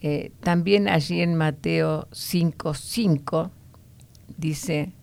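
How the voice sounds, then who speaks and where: Low, gravelly woman teaching the Bible. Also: steady tone, a little mellow. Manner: explanatory, often ending points with a questioning "no?"; unhurried, with frequent hesitation fillers.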